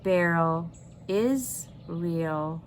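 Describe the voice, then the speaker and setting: A woman voicing an ominous old grandmother, slow and eerily calm, in a children's storybook reading. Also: on the low side, slight background noise. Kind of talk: children's novel read-aloud.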